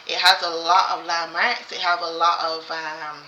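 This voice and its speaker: A woman's voice: lowish voice, little pitch movement, moderate pace, bright, forceful tone, slight background noise. A woman chatting casually as co-host, rambling and repeating herself with many fillers and restarts. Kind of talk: casual chat. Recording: roomy, slightly dull sound.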